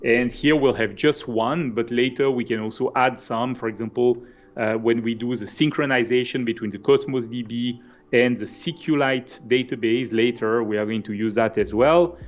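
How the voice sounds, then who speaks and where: A man with a Swiss French accent giving a conversational live coding walkthrough as a software developer. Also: mid-range voice, moderate pace, highs cut off, soft background music. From French-speaking Switzerland.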